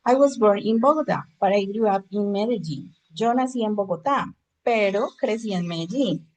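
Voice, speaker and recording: A woman's voice: mid-range voice, lively intonation, normal speed, darkish tone, low-quality recording. Woman teaching an English class. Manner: explains in Spanish, switches to English for examples. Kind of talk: online class lecture.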